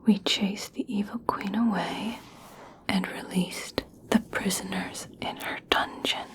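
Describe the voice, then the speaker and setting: Woman playing a slowly whispering gentle elf princess. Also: mid-range voice, fairly even intonation, rough recording. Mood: reassuring. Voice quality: breathy whisper. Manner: soothing, slow, deliberate with long pauses. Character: gentle, caring young elf princess tending a freed prisoner. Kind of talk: ASMR personal attention roleplay.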